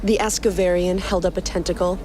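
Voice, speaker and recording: A woman's voice: fairly low pitch, flat delivery, brisk, poor audio. Woman narrating fiction over music. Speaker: podcast narrator.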